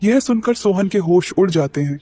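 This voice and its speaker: Higher-voiced male storyteller. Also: moderate pace. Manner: steady, explanatory. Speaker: narrator. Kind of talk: storytelling narration for a cartoon. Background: faint music.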